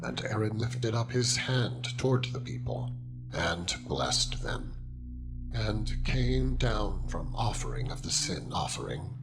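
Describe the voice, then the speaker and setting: A man reading scripture as a dramatized narration, in a solemn and measured manner. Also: on the low side, big pitch swings, gravelly. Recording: music throughout. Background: loud instrumental music.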